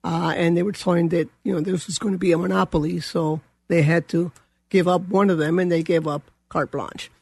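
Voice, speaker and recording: An older woman with a Colombian accent, speaking as a guest. Her voice is gravelly and a little husky, and she wanders through her story with plenty of fillers. The recording is close and dry. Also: fairly low pitch, fairly even intonation, fairly quick, darkish tone, no room sound. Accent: Colombian Spanish. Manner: rambling, many fillers and restarts. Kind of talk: casual interview.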